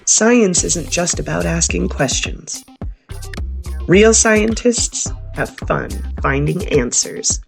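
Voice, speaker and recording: A woman reading a story aloud for young children, with expressive delivery and explanatory asides like a teacher. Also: on the low side, slow pace, creaky, soft background music.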